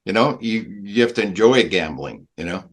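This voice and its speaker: A man from Vienna with an Austrian accent, a native German speaker, talking casually as a podcast guest in a halting, emphatic way. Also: fairly low pitch, lively intonation. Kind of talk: casual conversational interview.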